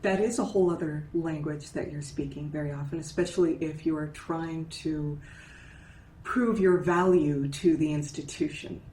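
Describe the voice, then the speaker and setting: A woman with a deep, low voice talks through voice and dialect coaching in a relaxed, explanatory way. She often checks in with "right?". The recording is roomy and echoey, with faint ambience behind her. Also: moderate pace, slight background noise. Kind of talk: casual conversational interview.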